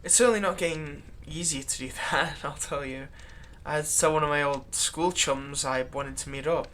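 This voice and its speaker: A man's voice: on the high side, moderate pace, fairly bright tone, slight background noise, close to an everyday voice. A man playing a servile, nervous vampire's thrall in a roleplay audio drama, stammering and deferential. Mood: dutiful, weary, then chatty, uneasy. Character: servile, nervous male vampire's thrall, bitterly eager to please.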